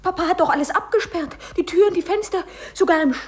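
A woman's voice: very high-pitched, fast. An actress playing a naive, sheltered young lady in a 1950s German radio play, speaking hesitantly and breathily. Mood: bewildered.

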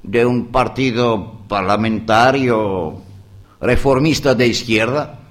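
A man with a Greek accent, a native Greek speaker, giving a speech at a political conference in slow, halting, improvised speech with frequent pauses, rising to emphatic bursts on key words. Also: medium pitch.

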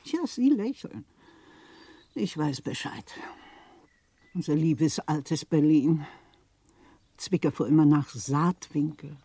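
An actress playing a worldly, gossipy middle-aged widow of a Berlin official in a German radio play, theatrical, insinuating and chatty. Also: female voice, low voice, animated, takes it slowly, pauses often, warm tone, slightly rough, dry recording, exaggerated voice. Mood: mock horror. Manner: theatrical, insinuating, chatty, repeats words for emphasis.

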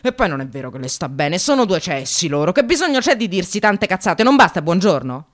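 An actress playing a bitter, wry, educated receptionist in precarious work, delivering an expressive theatrical radio monologue. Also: female voice, fairly low pitch, a little fast. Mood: scornful. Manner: sardonic, expressive, swearing, addressing the audience.

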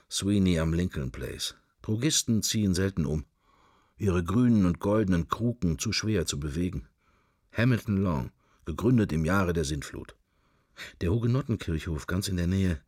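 A man's voice: low-pitched, no room sound, clean studio sound, exaggerated voice. An actor playing a middle-aged man musing wryly to himself in clipped, wandering thoughts in a literary radio play.

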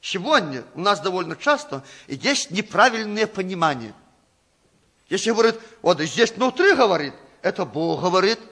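A man preaches as a pastor, his voice very high and bright. His pitch swings widely as he talks. He hesitates often with fillers and turns to ask his listeners questions. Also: big pitch swings, moderate pace. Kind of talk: conversational sermon.

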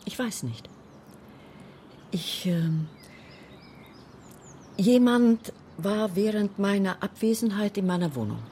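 An actress playing a lonely, anxious, defensive woman in a radio crime drama, speaking haltingly yet insistently. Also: medium pitch, animated, dark tone, a little hiss or hum.

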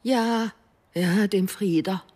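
An actress playing a secretive older mother in a radio drama, defensive and evasive, speaking haltingly. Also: medium pitch, mellow. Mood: guarded, hesitant. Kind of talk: naturalistic German radio drama acting.

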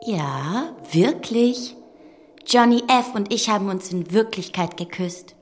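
A woman's voice: mid-range voice, lively intonation, slow, soft background music. An actress playing a curious, dreamy young girl who speaks frankly and simply in a German radio play. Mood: shy, wondering.

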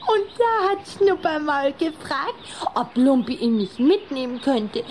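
Little vampire girl: high, unhurried, mischievous and fond, radio drama with clear background noise. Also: lively intonation, a little mellow. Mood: eager, gossipy. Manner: giggly, teasing, playful. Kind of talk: German radio play acting.

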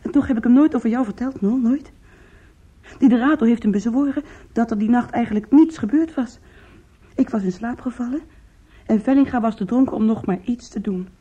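An actress in a Dutch radio play playing a weary, anguished young woman with a shamed past, confessional, halting and pleading. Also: on the high side, mellow, slightly rough. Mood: wistful confessing. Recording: muffled, lo-fi old radio recording.

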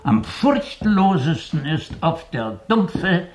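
A man's voice: fairly high pitch, very animated, slow, darkish tone. An actor playing a learned man in a German radio mystery set in 1914 Vienna, musing and lecturing with emphasis. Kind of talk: German radio play acting. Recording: light background noise.

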